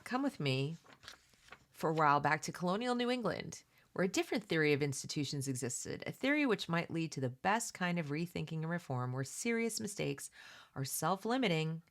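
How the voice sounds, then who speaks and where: A woman's voice: sits low, expressive, moderate pace. A woman hosting a read-along, mixing reading aloud with casual, opinionated commentary in an emphatic, conversational way that addresses listeners directly.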